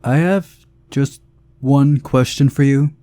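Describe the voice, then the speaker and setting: A man's voice: mid-range voice, fairly even intonation, slow, mellow, dry recording, pitched above the performer's own voice, natural voice. A man voicing an exasperated, bossy woman film director in a visual novel, scolding and weary. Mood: stern.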